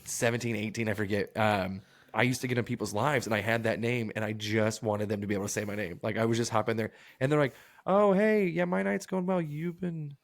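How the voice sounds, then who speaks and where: A man's voice: fairly low pitch, fairly quick. A man from Missouri co-hosting a fantasy football podcast, swearing and telling stories in crude casual banter. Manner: profane, animated storytelling. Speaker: podcast co-host.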